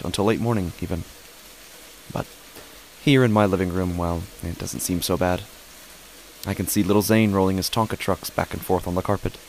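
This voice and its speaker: A man's voice: slight background noise, low-quality recording. A man reading scripted horror fiction as a first-person narrator. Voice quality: low-pitched. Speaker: podcast host and narrator.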